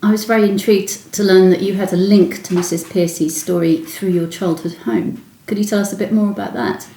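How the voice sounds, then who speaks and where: A woman's voice: mid-range voice, brisk, dark tone. A woman hosting a podcast, reading prepared introductions and putting questions to a guest. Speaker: podcast host and interviewer. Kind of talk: scripted introduction and interview questions. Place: indoors in a room at the guest's home. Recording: roomy echo, faint background noise.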